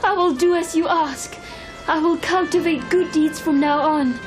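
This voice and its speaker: A woman acts out an elegant, discontented courtesan in a cartoon. She speaks in a high, earnest, formal voice, with faint music underneath. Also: measured pace. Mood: humble, earnest. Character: beautiful wealthy courtesan, discontented, earnestly seeking freedom. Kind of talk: cartoon voice acting.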